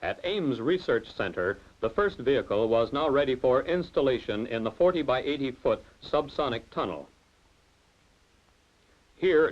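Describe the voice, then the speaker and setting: A man reading scripted documentary narration in a measured, formal way about technical tests. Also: mid-range voice. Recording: dull lo-fi film soundtrack.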